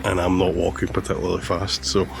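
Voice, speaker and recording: A hoarse man, chatting casually as he vlogs outdoors about landscape photography, with many pauses and fillers. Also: low voice, monotone, background noise. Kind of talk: casual vlog narration. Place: outdoors on a lakeshore, walking. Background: background music.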